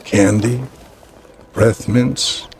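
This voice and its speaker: An actor playing an elderly, courteous and cryptic peddler in a vintage radio drama, speaking in evasive riddles. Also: male voice, lowish voice, steady tone, extremely slow, vocal fry, noisy background, heavily acted. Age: older. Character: mysterious elderly peddler, gentle, cryptic old man.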